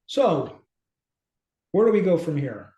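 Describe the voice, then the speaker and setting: A man in his thirties chatting informally as a co-host, thinking aloud in a halting way on a video call. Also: a little high, big pitch swings, warm tone. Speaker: co-host, app company team member. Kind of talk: casual, informal demonstration chat. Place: small home office indoors. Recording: echoey room on a video call.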